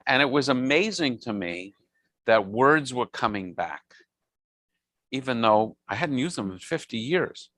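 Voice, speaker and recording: A man's voice: medium pitch, lively intonation, measured pace, a bit bright, no room sound. A man in his sixties who leads services, chatting casually and telling rambling stories with frequent self-corrections. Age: 60s. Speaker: service leader. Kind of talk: casual chat. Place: synagogue service.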